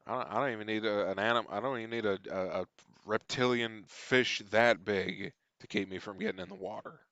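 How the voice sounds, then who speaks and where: A man with a low voice and a dry, close sound hosts a reaction video. He talks off the cuff, blunt and casual, swearing freely. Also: expressive, fairly quick, vocal fry, a bit bright, dry recording, lo-fi sound.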